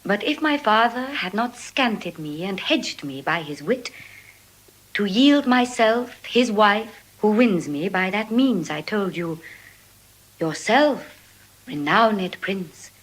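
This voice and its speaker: A woman's voice: mid-range voice, animated, takes it slowly, low-quality recording. An actress playing a poised, dutiful young noblewoman, speaking formal Shakespearean verse with composure. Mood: poised, gracious. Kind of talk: measured Shakespearean verse acting.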